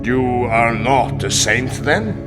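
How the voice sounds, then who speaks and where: A man acting in a radio drama as a proud, amused Sultan, speaking in a grand, deliberate manner. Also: mid-range voice, lively intonation, loud music behind the voice. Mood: stern, superior.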